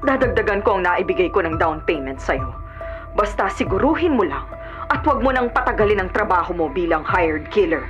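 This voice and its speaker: Ruthless Filipino businesswoman, radio drama villainess, lively swings in pitch, music underneath. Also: fairly quick, dull audio. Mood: scheming calm. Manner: haughty, defiant, dismissive. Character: cunning, arrogant, ruthless businesswoman and wife.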